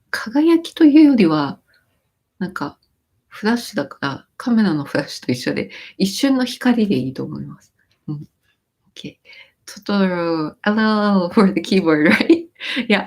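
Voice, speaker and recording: Bilingual woman chatting on a livestream. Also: lowish voice. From born in Japan, raised in the US. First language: Japanese. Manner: reads viewer comments and explains lyrics, with many fillers and restarts. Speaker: livestream host. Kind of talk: casual livestream chat.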